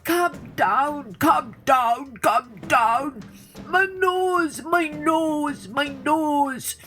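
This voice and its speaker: A woman's voice: sits high, extremely slow, fairly bright tone, pitched above the performer's own voice, exaggerated voice. A woman voicing a storybook lion in agony, moaning and begging over and over. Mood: pained pleading. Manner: moaning, pleading, repetitive. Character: proud male lion, agonised and begging over his nose. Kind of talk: storybook character voice.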